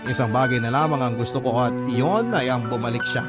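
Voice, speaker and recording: A man's voice: mid-range voice, brisk, close to an everyday voice. An actor playing a regretful, bereaved son with a Filipino accent, narrating his letter earnestly and sorrowfully in a radio drama. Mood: grieving, yearning. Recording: loud music under the voice. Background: loud background music.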